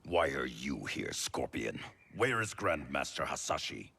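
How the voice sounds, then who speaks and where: An actor playing a stern, distrustful warrior in a video game, speaking in grave, clipped, formal phrases. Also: male voice, rumbling low, sing-song, measured pace, gravelly, slightly rough, full character voice. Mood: stern, suspicious. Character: stern, distrustful male warrior guarding his allies. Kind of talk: dramatic video game acting. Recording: loud music behind.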